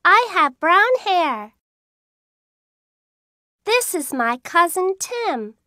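A cheerful little schoolgirl in a kids' cartoon, with a very high, bright voice that bounces up and down. She speaks slowly and clearly, in a dry studio sound. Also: sing-song, dry recording, full character voice.

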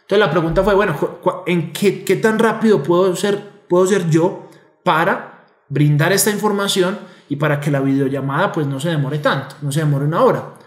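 A man's voice: high voice, moderate pace, roomy sound. A man in his thirties explaining money to camera in an emphatic, explanatory way, often checking in with rhetorical questions, at a desk microphone in a home office. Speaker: investor and community director. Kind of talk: direct-to-camera finance lesson. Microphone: desk microphone in front.

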